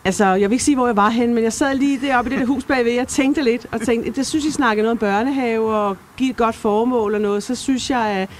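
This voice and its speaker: A woman's voice: fairly high pitch, few pauses. A woman interviewed as a market stallholder, chatting casually and agreeing emphatically. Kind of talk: casual live interview chat. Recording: live market interview with background noise. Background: market ambience.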